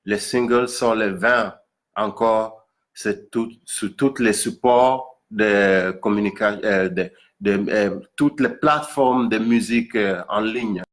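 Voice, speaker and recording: A man from Accra with a Ghanaian accent and Ga as his first language, explaining things informally to an audience, haltingly and repeating words for emphasis. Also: lowish voice. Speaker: musician presenting own single.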